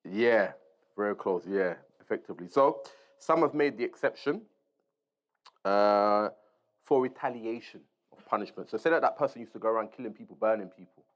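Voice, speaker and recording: A man's voice: fairly low pitch, moderate pace. A man teaching an informal Islamic lesson, reading aloud and explaining as he goes, checking in with his listeners. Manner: explanatory, checks in with listeners using short tags. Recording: dull, lo-fi sound.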